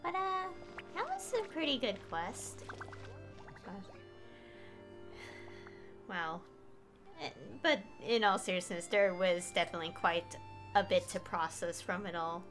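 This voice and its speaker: A woman's voice: medium pitch, animated, a little slow, long pauses. A woman streaming a game, giving casual commentary in a hesitant, rambling way that often trails off. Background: game music.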